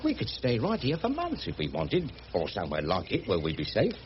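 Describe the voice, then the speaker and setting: An actor playing a cheeky, easygoing working-class English mechanic with a Cockney accent, chatty and deferential, in a radio drama. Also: male voice, fairly low pitch, wildly swooping pitch, fairly quick. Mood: easygoing, reasoning. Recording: muffled, noisy old radio drama recording.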